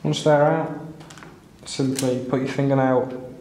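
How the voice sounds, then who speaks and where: A man's voice: mid-range voice, flat delivery, unhurried, mellow. A man narrating a step-by-step repair tutorial in a plain, instructional way. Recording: roomy echo, clear background noise, clicks.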